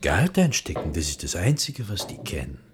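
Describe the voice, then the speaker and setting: An actor playing a proud old bookseller who grumbles in broken, heavily coloured German. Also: male voice, deep voice, wildly swooping pitch, moderate pace. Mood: scornful. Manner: heavy Yiddish-flavoured broken German, fussy, dismissive. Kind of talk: radio play acting.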